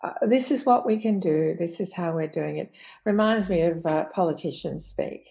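A woman giving her view as an expert guest, halting and thinking aloud. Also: on the low side, gravelly, mellow. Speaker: psychologist guest. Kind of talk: reflective interview talk.

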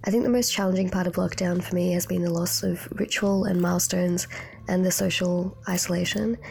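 Flat gravelly young woman. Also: lowish voice, fairly quick, faint music behind. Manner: reflective, earnest. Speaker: postgrad student and community advocate. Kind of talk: recorded voice note.

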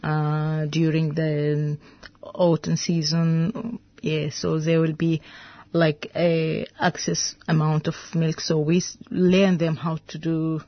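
Low, slow-spoken Sudanese woman. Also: flat delivery, dry recording, highs cut off. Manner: halting, searching for words. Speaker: interview guest, veterinarian. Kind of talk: radio interview.

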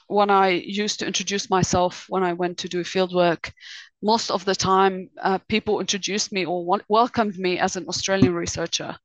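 Woman in her thirties from lebanon, interview guest, flat delivery, dry close sound. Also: on the low side, moderate pace, dry recording, highs cut off. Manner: thoughtful, restarts often. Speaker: guest researcher. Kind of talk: podcast interview.

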